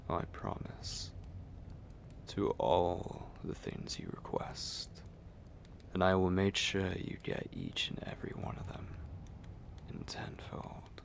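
A man voicing a possessive, courtly, seductive vampire lord in an intimate ASMR roleplay, murmuring breathily and deliberately close to the microphone. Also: sing-song, slight background noise, full character voice. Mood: seductive, hungry. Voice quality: breathy, gravelly low whisper.

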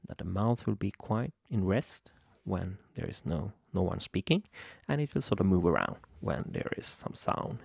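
A young man from Sweden with a Swedish accent, hesitantly walking through a software tutorial at his computer, with many ums and restarts. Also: low-pitched, expressive, normal speed, creaky, husky, no room sound, studio quality. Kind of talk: screen-recorded software tutorial. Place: home room at a computer.

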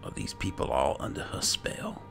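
Un uomo che commenta un videogioco in stile gioco di ruolo, riflettendo ad alta voce nei panni del personaggio, con musica di gioco sotto. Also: male voice, normal speed, a bit bright. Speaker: gaming channel host role-playing as an alchemist. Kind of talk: slow, musing in-character game roleplay commentary. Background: game music.

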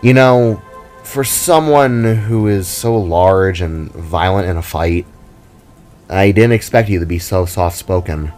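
A man voicing a caring, frank female fantasy creature with a gentle, hesitant manner and quiet sorrow. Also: low-pitched, slow pace, faint music behind. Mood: candid, subdued.

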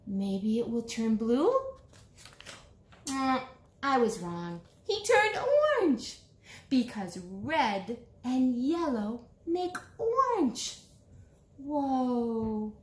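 A woman in her forties doing an animated storytime read-aloud for young children, expressive and sing-song, with drawn-out words. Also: on the high side. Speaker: children's author reading a picture book aloud. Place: small indoor room, seated before a bulletin board. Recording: roomy small-room echo.

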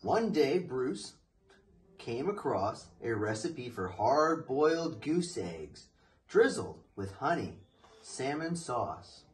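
A young man reading a children's picture book aloud in an expressive, deliberate storytelling manner. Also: mid-range voice, low-quality recording. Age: young adult. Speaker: storybook reader. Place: living room, indoors.

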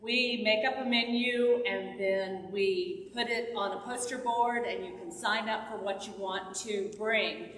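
An older woman, a church volunteer, explaining a community meal in a plain, loud voice, standing in a large sanctuary without a mic and picked up by a far camera. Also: medium pitch, unhurried, few pauses, slight background noise. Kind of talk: announcement. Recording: echoey hall from far off.

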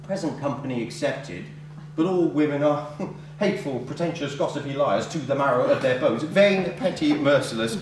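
A man's voice: on the high side, animated, normal speed, natural voice. A man acting a boorish, hot-tempered bill collector who scorns women, in a blustering, theatrical stage comedy style. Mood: scornful ranting. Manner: blustering, ranting, mockingly theatrical. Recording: very echoey room, distant mic.

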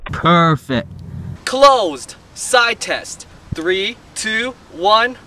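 Playful high-voiced young man vlogging. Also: big pitch swings, drawn-out words, sharp tone, a little hiss or hum. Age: young adult. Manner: playful, jokey, counts down drop tests. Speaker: YouTube host. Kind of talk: comedic product-test vlog. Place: outdoors in a dirt yard.

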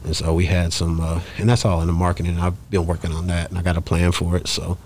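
Deep-voiced, fast-talking man. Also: little pitch movement, a little mellow, slight background noise. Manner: emphatic, repetitive, peppers talk with "you know what I'm saying". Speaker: interview guest, rapper. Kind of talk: casual interview talk. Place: small podcast studio in a shop. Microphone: close studio microphone on stand.

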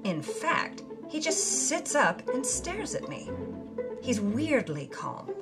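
A brash 1950s movie starlet, narrates her own memoir in an audio drama. Her delivery swings widely in pitch and is sardonic and theatrical, with the air of a wronged woman. Music plays behind her. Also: very animated, slow, exaggerated voice. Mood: tense, urgent.

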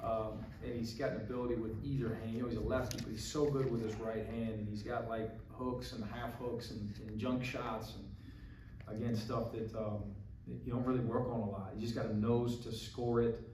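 A middle-aged man, a basketball coach answering postgame press questions, thoughtful and halting with many restarts, speaking into a podium mic. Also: mid-range voice, little pitch movement, noisy background. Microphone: podium mic on a stand. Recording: echoey press room from a far camera.

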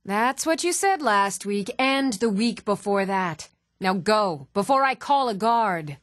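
An actress playing an even-toned townswoman in a fantasy video game, speaking scripted lines in a measured, matter-of-fact way. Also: mid-range voice, dry recording, studio quality. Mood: firm.

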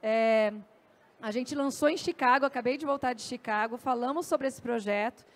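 A woman's voice: fairly high pitch, normal speed. A woman with a Brazilian accent giving a conversational, anecdote-filled talk on a festival stage into a handheld microphone. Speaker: journalist and speaker.

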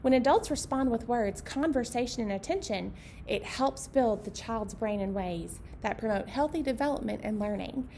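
A woman's voice: on the high side, animated, normal speed, dark tone. A woman in her thirties presenting a storytime as a children's librarian, speaking simply to young children and their parents. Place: meeting room indoors. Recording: clear background noise.

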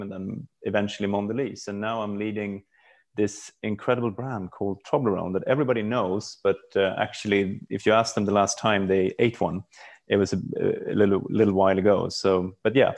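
Low-voiced man, reflective podcast guest. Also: a little mellow. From Stockholm, Sweden. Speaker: podcast guest, brand executive. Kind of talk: casual interview talk.

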